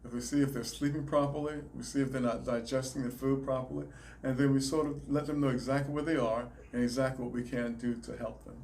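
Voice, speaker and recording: A man with a Southern US accent explains his work in a calm, measured way, pausing and restarting now and then. His voice has a mid-range pitch, and a faint hum of room noise runs under it. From Alabama, raised in South Carolina. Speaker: chiropractor, guest. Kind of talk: interview answers, explaining.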